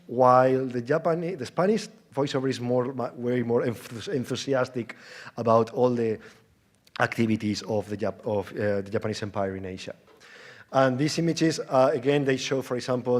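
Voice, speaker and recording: A man presenting an academic conference lecture in a halting way, with false starts, repeated words and conversational rhetorical questions. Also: medium pitch, a little mellow. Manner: explains through informal, conversational asides and rhetorical questions.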